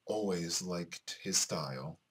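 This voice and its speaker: A man voicing a cold, sadistic killer who narrates his murder in a slow, deliberate, menacing way. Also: low voice, husky, heavily acted. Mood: cold relish. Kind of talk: dramatic reading of visual novel narration.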